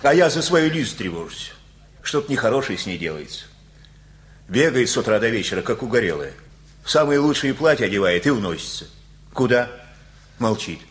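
A man's voice: medium pitch, sing-song, a little fast, pauses often, lightly acted. A male actor playing a folksy, talkative, worried father in a radio play, rambling colloquially with chuckles. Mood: anxious rambling.

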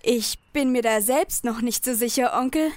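A woman's voice: a little high, normal speed, a little mellow, no echo, close to an everyday voice. A woman playing a patient, dutiful young niece who soothes her uncle in a parody audio drama. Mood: soothing, patient.

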